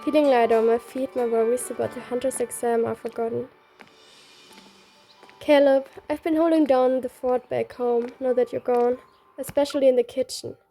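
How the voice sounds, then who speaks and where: A woman's voice: high-pitched, fairly even intonation, moderate pace, warm tone. A woman reading a game story aloud, both the narration and the dialogue. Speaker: reader. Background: faint music.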